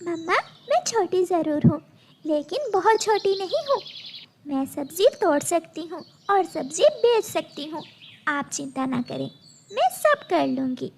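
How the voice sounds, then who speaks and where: A woman's voice: very high-pitched, sing-song, measured pace, a little mellow, a little hiss or hum, full character voice. A woman voicing a small, plucky daughter bird who is eager to help, in a children's cartoon. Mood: plucky, reassuring.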